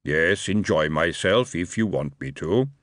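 A man voicing a male horse that speaks slowly and literally, with a deadpan manner. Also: deep voice, no room sound, studio quality, voice pushed down.

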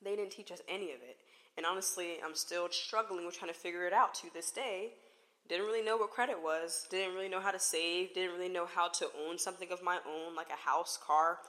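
A woman's voice: fairly low pitch, fairly even intonation, brisk, sharp tone. A woman in her twenties hosting her own podcast, talking in a chatty, playful way and often laughing. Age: 20s. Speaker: podcast host. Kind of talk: casual solo podcast chat.

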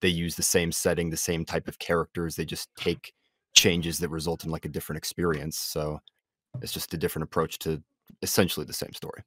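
A man with a deep voice talks through an analysis in a casual, explanatory way. His phrasing is loose and conversational, and the sound is dry and close. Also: little pitch movement, brisk, fairly bright tone, dry recording. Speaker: discussion panelist.

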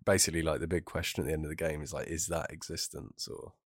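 Male co-host, British, very low pitch, mild head cold, informal gaming talk, dry recording. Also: steady tone, fast talker, husky, dry recording. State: bunged-up nose from a cold. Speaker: podcast co-host. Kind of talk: casual chat about video games.

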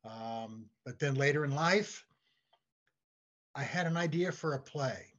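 An older man chatting as an interview guest, halting and repeating words as he searches for his phrasing. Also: mid-range voice, fairly bright tone. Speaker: guest, playwright and comedy writer. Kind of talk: casual interview chat. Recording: dull, lo-fi voice track.

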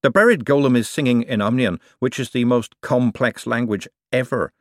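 Brisk woman as a man reads her, storybook character, insistent, self-assured, higher-pitched, close dry sound. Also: dry recording, natural voice. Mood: careful, defensive. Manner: explanatory, insistent. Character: brisk, stubborn, self-assured young woman explaining herself.

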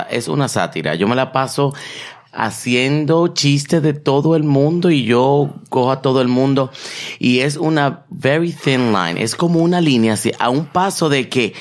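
A man co-hosting a casual talk show, speaking in a playful, self-mocking way and mixing English phrases into his talk. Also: medium pitch. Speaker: podcast co-host. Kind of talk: casual conversation.

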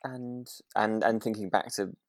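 Deep-voiced man, thoughtful podcast chat. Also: fairly even intonation, a little slow, no echo, studio quality. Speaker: podcast host. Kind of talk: casual chat reviewing a radio drama.

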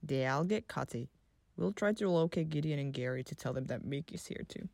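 An actress playing a wry, practical team member in an audio drama, casual and teasing. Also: female voice, deep voice, lively intonation, fairly quick, creaky, darkish tone, dry recording, studio quality.

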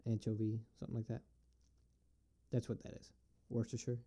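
Upstate new york guy rambling to camera, low voice, real dark and mellow tone, keeps restarting. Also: completely flat delivery. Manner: rambling, halting, thinks aloud. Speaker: vlogger answering questions. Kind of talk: casual solo musing.

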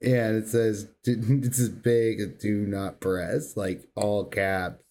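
A man's voice: on the low side, level tone, slow, a little mellow. A man co-hosting a casual gaming podcast, speaking in an emphatic, slangy, informal way. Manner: emphatic, informal, slangy, says "dude" often. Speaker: podcast co-host. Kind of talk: casual banter about video games.

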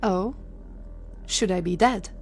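A woman's voice: mid-range voice, takes it slowly, faint music behind. A woman playing a cold, commanding scientist in a sci-fi audio drama, speaking formally and imperiously. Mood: cool, formal. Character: cold, commanding female scientist leading a time-travel mission.

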